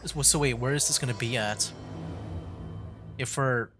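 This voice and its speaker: A streamer who jokes back at the characters in casual, wry commentary over a game. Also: male voice, mid-range voice, a little slow. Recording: game music faint behind.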